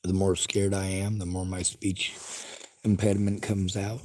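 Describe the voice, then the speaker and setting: A man speaking in a blunt, lecturing livestream monologue and reading viewer chat. His voice is husky and sits fairly low, with a stutter and a speech impediment. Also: little pitch movement, measured pace, darkish tone. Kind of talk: casual opinionated monologue.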